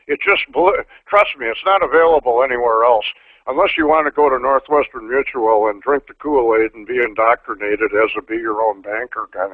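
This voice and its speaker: A man's voice: medium pitch, no echo. An older man reading a book chapter aloud to insurance agents, breaking off into folksy asides and restarts. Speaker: insurance trainer. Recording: tinny phone-like dry sound.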